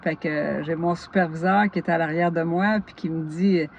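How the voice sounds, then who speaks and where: A woman's voice: on the low side, steady tone, moderate pace, vocal fry. Québécois woman vlogging about knitting, tired and giggly, hops topic to topic, dull lo-fi sound with faint hiss. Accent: Québécois French. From northern Gaspésie, Quebec. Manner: chatty, laughs often, jumps between topics. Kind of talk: casual chat.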